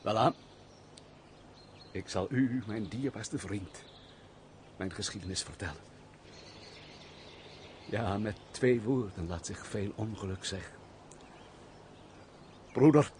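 An actor playing a wounded, gruff nobleman who shuns mankind, speaking formally and theatrically in a radio drama. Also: lowish voice. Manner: formal, theatrical, sorrowful storytelling. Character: bitter, wounded nobleman turned gruff reclusive misanthrope. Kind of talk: old radio drama acting.

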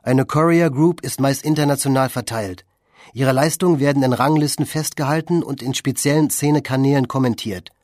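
A man delivering scripted, factual documentary narration in a measured manner. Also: medium pitch, darkish tone, no room sound, clean studio sound. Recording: music behind the voice.

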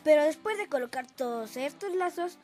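A woman's voice: high-pitched, expressive, moderate pace, a little mellow, soft background music. A woman playing a tired young boy who is eager to play, in a radio drama. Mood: tired, pleading.

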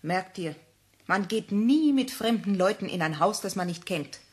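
A mother tells a fairy tale in a gentle, instructive way. Her voice is slightly breathy and sits at a middle pitch. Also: expressive, moderate pace. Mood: storytelling. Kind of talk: 1960s radio play acting.

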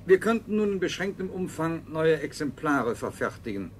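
An actor playing a clinical scientist in a science fiction radio play, formal and matter-of-fact. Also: male voice, on the high side, sing-song, normal speed. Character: clinical, formal male scientist leading survivors. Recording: faint noise, 1970s radio production.

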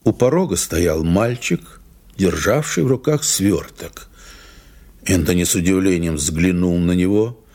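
A man's voice: low-pitched, measured pace, darkish tone. A man reading scripted narration as the storyteller of an audio drama. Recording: music under the narration.

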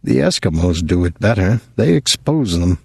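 A man's voice: low voice, big pitch swings, moderate pace, gravelly, darkish tone, breathy edge, no echo, exaggerated voice. A man reading aloud voices a curt, impatient young businessman, close to his own voice.

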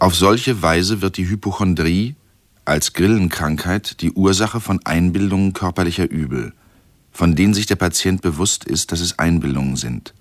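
A sober male narrator, voiced by an actor reads scholarly prose for a radio play. The voice is low, the delivery formal and measured, the studio sound close and dry. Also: dry recording, close to an everyday voice. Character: sober male narrator reading out old scholarly medical text.

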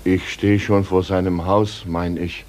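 A man's voice: low-pitched, little pitch movement, unhurried, darkish tone, slight background noise. An actor in a 1950s German radio play, playing a blunt, troubled coachman in a count's service. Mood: dismayed.

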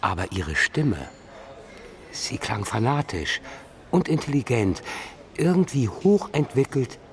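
A man's voice: mid-range voice, big pitch swings, slow pace, vocal fry, exaggerated voice. An actor playing a perceptive blind man questioned in a radio crime drama, phrasing his answers carefully and eloquently. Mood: reflective, grave. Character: perceptive blind male witness, measured and eloquent.

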